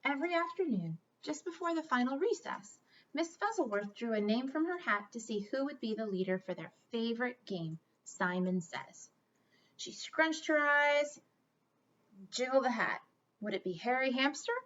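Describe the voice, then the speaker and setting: Female children's storyteller, high voice, expressive and dramatic delivery, slightly muffled home recording. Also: moderate pace. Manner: animated storytelling with dramatic emphasis. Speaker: school storytime reader. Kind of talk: expressive children's read-aloud.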